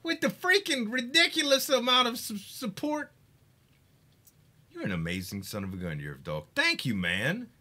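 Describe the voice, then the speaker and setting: Excitable man streamer, bright lively voice. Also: very high-pitched, moderate pace. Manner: excitable, hypes games, swears, laughs often. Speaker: game streamer. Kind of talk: casual live stream chat.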